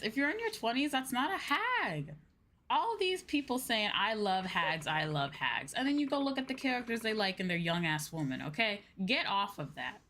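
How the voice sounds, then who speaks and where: A woman's voice: medium pitch, sharp tone. A woman streamer chatting casually over a game, blunt, playful, profane and emphatic. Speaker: VTuber streamer. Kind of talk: casual game livestream chat.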